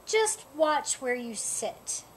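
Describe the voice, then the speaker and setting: A woman's voice: sits high, sing-song, slow pace, slight background noise, exaggerated voice. A woman voicing a worried, bossy small male animal in a storybook, insistent and cautioning.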